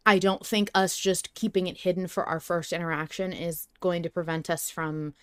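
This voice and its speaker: A woman's voice: lowish voice, flat delivery, normal speed, a bit bright, smooth, no echo. A woman co-hosting a fan podcast in a casual, informal discussion style, swearing freely. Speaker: podcast co-host.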